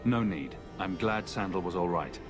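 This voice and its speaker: A man's voice: sits low, animated, normal speed, music in the background. An actor playing a composed, modest male adventurer in a video game. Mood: calm.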